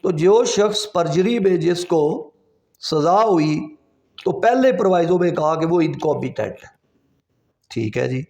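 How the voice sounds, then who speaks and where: A man's voice: fairly high pitch, expressive, a little mellow. A man with a Pakistani accent giving an explanatory law lecture in a didactic way, repeating points, posing rhetorical questions and switching into English for quotations. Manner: switches between Urdu and slow English quotations. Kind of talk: explanatory exam-prep lecture. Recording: echoey, reverberant room.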